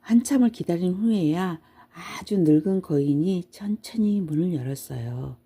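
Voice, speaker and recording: Female storybook narrator for bedtime listening; soft dark timbre, slightly low, measured delivery. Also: expressive, husky.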